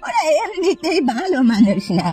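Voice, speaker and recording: A woman's voice: very high-pitched, sing-song, normal speed, dark tone, soft background music. A woman playing a watchful elderly village granny in a cartoon, knowing and admonishing. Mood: knowing, disapproving. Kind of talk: cartoon dialogue acting.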